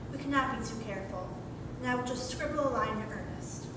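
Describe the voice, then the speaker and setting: Woman acting fussy sharp-tongued matron, arch, stage comedy, higher-pitched, noisy far-off recording. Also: brisk, natural voice. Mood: bossy. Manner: prim, managing, arch. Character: bossy, fussy, sharp-tongued married sister-in-law. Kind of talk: staged play reading. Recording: echoey noisy hall from far off.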